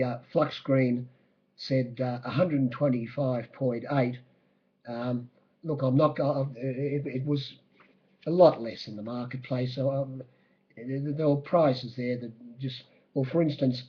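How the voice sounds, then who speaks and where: Croaky, halting Australian man. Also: medium pitch, lo-fi sound. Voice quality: croaky, husky. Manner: halting, hesitant, matter-of-fact. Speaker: racing bookmaker giving a race-day report. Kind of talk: spoken horse racing recap.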